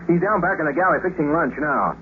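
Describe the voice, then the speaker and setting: A man's voice: a little high, animated, a little fast, lightly acted. Man acting a breezy American astronaut, old radio. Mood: upbeat, earnest. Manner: breezy, wisecracking, informal. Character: easygoing, stubbornly generous American male astronaut. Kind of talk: 1950s radio drama acting. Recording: muffled, hissy 1950s radio recording.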